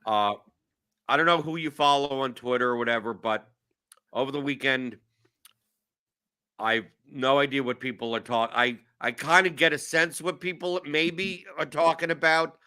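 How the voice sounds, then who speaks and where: A man's voice: mid-range voice, steady tone, moderate pace, bright, forceful tone, clean tone. A man in his forties from New York, hosting a casual show in a rambling, self-mocking way with lots of restarts. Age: 40s. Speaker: show host. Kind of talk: casual chat.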